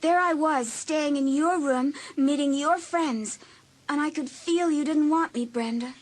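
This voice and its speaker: An actress playing a hurt, insecure, apologetic teenage girl in an audiobook drama, with an emotional, halting, pleading delivery. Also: high voice. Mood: wounded, confessing.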